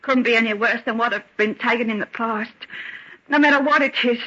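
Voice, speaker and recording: A woman's voice: on the high side, fairly quick, bright, forceful tone. Bitter wronged young woman, jail-hardened and sarcastic, old radio drama, muffled lo-fi sound. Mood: reproachful, bitter. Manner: bitter, sardonic, defiant. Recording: dull, lo-fi vintage radio recording.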